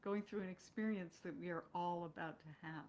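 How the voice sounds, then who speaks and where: A woman, a guest on a show, chatting casually and thoughtfully, pausing mid-sentence as she works out her point. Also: lowish voice, steady tone, a little mellow, slight background noise. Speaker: guest, stage and screen actress. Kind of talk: casual conversation.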